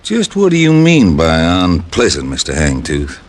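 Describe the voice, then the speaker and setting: A man's voice: extremely low pitch, wildly swooping pitch, moderate pace, background noise, full character voice. An American man playing a blustering but cowardly small-time gang boss, whiny and exclamatory, in an old radio crime drama. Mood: blustering defiance. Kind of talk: 1940s-50s radio crime drama acting.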